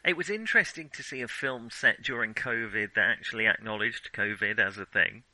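Male podcast co-host, very bright forceful tone, dry close sound, conversational and hesitant. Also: lowish voice, no echo, rough recording. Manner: hesitant, halting, restarts phrases often. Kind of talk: casual film discussion.

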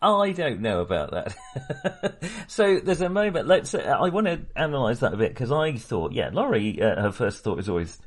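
Male co-host for relaxed film talk: expressive, varied intonation, faintly rough voice, wry and a bit hesitant. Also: mid-range voice, moderate pace. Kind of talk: casual film discussion.

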